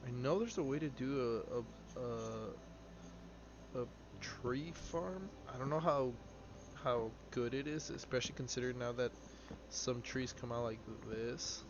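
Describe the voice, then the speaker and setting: A man in his twenties, a live streamer chatting casually and haltingly as he plays and answers viewer questions. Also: mid-range voice. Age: 20s. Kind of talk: casual chat while playing a game. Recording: dull lo-fi stream audio with noise.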